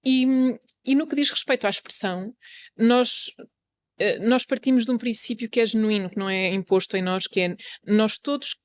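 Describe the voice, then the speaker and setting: A woman's voice: a little high, normal speed, dry recording. A woman, a journalist and co-founder of an association, talking earnestly in a casual panel conversation, with many fillers as she thinks aloud.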